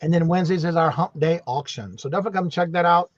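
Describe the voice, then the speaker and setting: A man's voice: fairly high pitch, fast talker, no echo, highs cut off. A man hosting a casual live stream, chatty and full of fillers, saying y'all a lot. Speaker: host.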